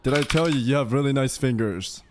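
A man's voice: medium pitch, fairly quick, noisy background. A young man streaming a game, chatting and teasing his group in casual voice chat, speaking close to a studio mic at home. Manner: playful, teasing, jumpy and animated. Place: indoor home streaming room. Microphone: studio mic on a boom arm, close.

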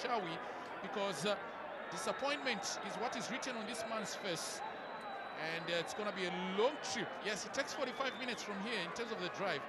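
Male football commentator, high-pitched, shouting over heavy crowd noise. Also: a little fast. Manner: shouting excitedly over goals. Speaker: play-by-play commentator. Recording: broadcast commentary over loud stadium crowd.

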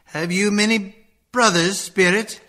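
An actor playing an anxious, humbled old miser who speaks hesitantly in a radio play. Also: male voice, high voice, steady tone, unhurried, sharp tone. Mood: humble. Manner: hesitant, stammering.

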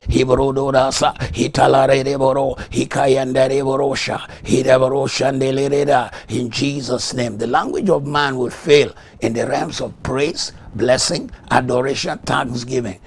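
A middle-aged male pastor whose first language is Yoruba delivers a fervent studio sermon on a lapel mic. His voice is gravelly and a little husky, and he switches between teaching and rapid, rhythmic streams of tongues. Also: medium pitch, darkish tone. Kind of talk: televised morning devotional sermon with tongues. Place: TV studio set.

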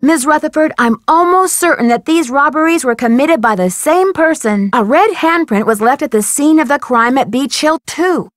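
Young female sleuth, high-pitched, earnest, courteous, inquisitive, articulate, adventure game dialogue, dry studio sound. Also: animated, moderate pace, hardly any pauses, no room sound. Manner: polite, articulate, eager questioning. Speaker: voice actor in a detective adventure game. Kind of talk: scripted game dialogue, phone conversations.

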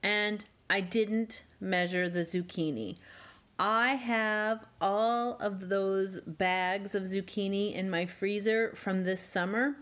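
A woman hosting a home cooking channel, walking through a cake recipe step by step with gently wry asides. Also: medium pitch, fairly even intonation, slow pace. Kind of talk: calm step-by-step baking instruction. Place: home kitchen.

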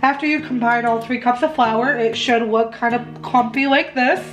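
Young american lady doing a chatty baking how-to, kinda high voice, roomy kitchen sound. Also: steady tone, moderate pace, soft background music. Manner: chatty, instructive. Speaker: home baking vlogger. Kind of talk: casual step-by-step recipe tutorial. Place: home kitchen, indoors.

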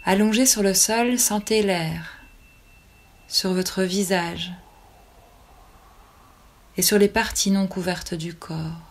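A woman leading a guided relaxation as a yoga nidra teacher, calmly and soothingly, with long pauses between short phrases. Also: medium pitch.

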